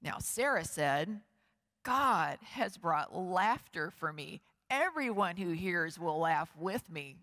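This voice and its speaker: A middle-aged woman pastor reading scripture and preaching, with a measured, expressive delivery and slow emphatic pauses. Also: fairly low pitch. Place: large wood-paneled church sanctuary. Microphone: lectern and stand microphone, far camera. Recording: long church hall reverb.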